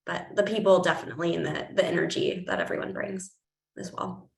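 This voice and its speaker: A woman researcher introducing herself on a panel, upbeat and filling pauses with "um"s. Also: lowish voice, animated, vocal fry. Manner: upbeat, lots of "um"s. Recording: echoey room.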